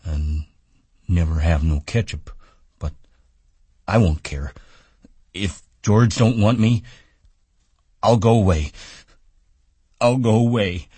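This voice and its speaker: A man's voice: deep voice, slow, darkish tone, breathy edge, no echo, voice pushed up, heavily acted. A man voicing a simple-minded, childlike giant of a man who talks in a pleading, plaintive way. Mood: sad, pleading.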